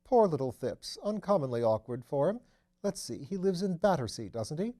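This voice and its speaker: Man acting out an airy aristocratic gentleman detective, amiable, courteous, raised pitch, soft-edged tone, storybook dialogue. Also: very animated, normal speed. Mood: lively, curious. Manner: breezy, leisurely, courteous. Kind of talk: audiobook character dialogue.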